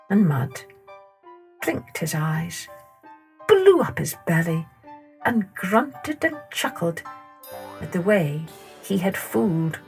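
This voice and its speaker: A woman narrating a children's story in a dramatic, deliberate, expressive way. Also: on the low side, vocal fry.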